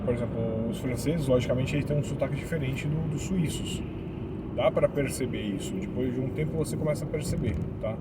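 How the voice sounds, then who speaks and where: A Brazilian man with a Brazilian Portuguese accent giving casual, chatty advice to viewers, often tagging on little questions. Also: fairly high pitch. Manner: chatty, repeats words, tag questions. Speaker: vlogger living abroad. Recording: distant mic, loud traffic noise. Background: cars and street noise.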